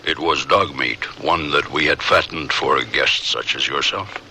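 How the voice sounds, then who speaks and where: A deep-voiced, proud Shoshone uncle. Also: male voice, vocal fry, bright, forceful tone, slight background noise, full character voice. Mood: dry, teasing. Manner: deliberate, formal phrasing with few contractions. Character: proud, teasing older Shoshone man, an uncle. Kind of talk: radio drama acting.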